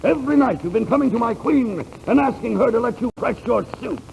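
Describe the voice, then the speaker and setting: A man's voice: very high voice, animated, a little fast, creaky, a little mellow, muffled recording, exaggerated voice. A man playing a pompous, blustering king in a 1940s radio comedy sketch, declaiming his lines grandly. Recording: noisy old 1940s radio recording.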